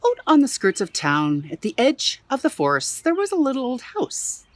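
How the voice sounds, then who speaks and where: A woman from Canada reads a children's storybook aloud outdoors in her backyard. Her pitch swings widely as she hams up the story with playful exclamations, and birds chirp faintly behind her. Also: moderate pace, no echo. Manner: expressive, playful, adds exclaimed asides. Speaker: museum storyteller. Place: own backyard.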